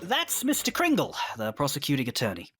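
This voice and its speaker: A man's voice: on the high side, huge pitch swings, brisk, over music. A man playing a friendly local guide in an audio drama, explaining things casually. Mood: easygoing. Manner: casual, informative.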